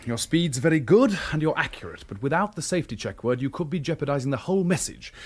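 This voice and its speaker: An actor playing a seasoned wartime resistance leader in a radio drama, firm and plain-spoken. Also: male voice, fairly high pitch, very animated, quick pace, darkish tone, husky. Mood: firm, instructive.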